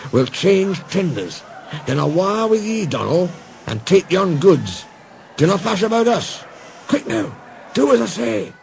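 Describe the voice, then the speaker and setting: A man playing a stern Scottish engine driver, commanding and urgent, over loud music. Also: sits high, very animated, measured pace, gravelly, a little hiss or hum, voice pushed up, full character voice.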